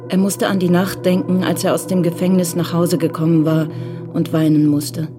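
A woman's voice: lowish voice, flat delivery, moderate pace. A woman narrating a crime radio drama as a scripted literary story. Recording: clear music bed under narration.

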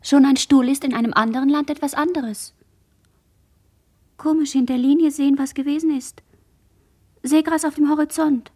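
High-voiced delirious shipwrecked girl. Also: a little mellow. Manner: fragmentary, incantatory, drifting phrases. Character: barely conscious shipwrecked young girl, delirious, dreamy, fearful. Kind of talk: radio play monologue, poetic disjointed speech.

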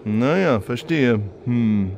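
A man acts a bureaucratic traffic policeman in a parody radio play. He speaks formally and drily at an average pitch, with officious patience. A faint background noise runs under the voice. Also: expressive, slow, gravelly, a little mellow.

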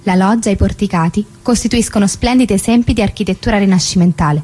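An actress playing an impersonal chronicler, reading historical facts in a formal, measured way for radio theatre. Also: female voice, mid-range voice, mellow, lightly acted. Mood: formal, sober. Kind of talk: formal scripted documentary narration in radio theatre.

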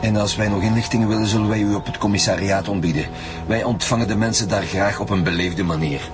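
A stern Flemish police chief with a lowish, firm voice, as an actor plays him in a radio crime drama. He gives orders in a dry, commanding way, with music underneath. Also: steady tone, quick pace, natural voice. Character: stern middle-aged male police chief inspector. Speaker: chief inspector.

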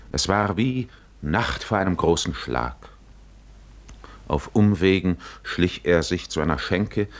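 An actor playing a grave storyteller in a 1950s German radio drama, turning gruff and curt for dialogue. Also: male voice, deep voice. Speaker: narrator, also voicing the curt hero's lines.

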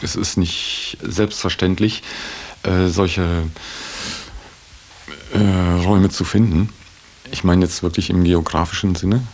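A man's voice: sits low, steady tone, takes it slowly, pauses often, darkish tone. A man giving a reflective interview in earnest, articulate phrases, hesitating often as he weighs his words. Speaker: interviewee in a radio feature.

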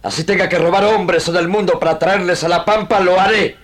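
An actor playing an earnest army lieutenant in a theatrical, halting style of old radio drama. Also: male voice, sits high, steady tone, fairly bright tone. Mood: defiant. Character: earnest young army lieutenant, dazed then defiant. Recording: noisy old radio archive tape.

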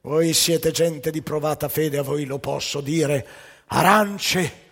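A man voicing a wretched party bureaucrat who speaks slowly and confidingly, recorded live on stage with a long hall echo. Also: a little high, fairly even intonation, gravelly, fairly bright tone, exaggerated voice.

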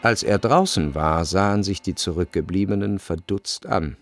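An actor as the measured literary narrator of a radio play, delivering scripted prose from a novel. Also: male voice, deep voice, over music.

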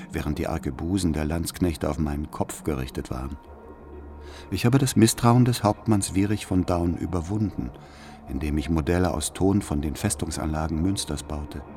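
An actor playing a cold, servile spy, reading out his formal, measured report to his master in a historical radio drama. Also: low-pitched, darkish tone.

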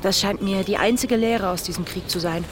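A woman's voice: mid-range voice, brisk, noisy background, natural voice. An actress playing an earnest, reflective young woman making an insistent point in a radio drama dialogue. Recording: loud outdoor street ambience.

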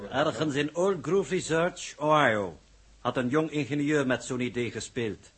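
An actor playing a confident male engineer in a 1960s Dutch radio drama, lecturing with deliberate assurance. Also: a little high, a bit bright. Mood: assured, lecturing. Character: confident male engineer presenting a bold invention.

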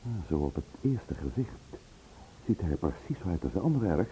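A man's voice: low voice, very animated, brisk, mellow, slightly rough, heavily acted, rough recording. An actor playing a troubled, insistent man in a Dutch radio play, with urgent questions and hesitant repetitions. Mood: puzzled. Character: troubled, insistent man worried for his sister-in-law. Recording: old radio recording, faint noise.

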